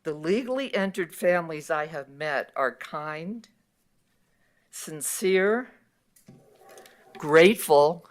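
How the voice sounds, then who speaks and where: Low-voiced woman who speaks slowly and earnestly; measured public comment at a council podium. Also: lively intonation. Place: city council chamber.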